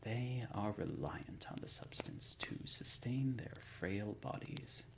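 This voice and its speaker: A man as an ancient undead leader, gravelly half-whisper. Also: fairly low pitch, lively intonation, a bit bright, exaggerated voice. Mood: solemn, proud. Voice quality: breathy near-whisper with gravelly creak. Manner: slow, deliberate, brooding, formal old-fashioned diction. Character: ancient male undead founder of a secret order, solemn. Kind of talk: ASMR roleplay monologue.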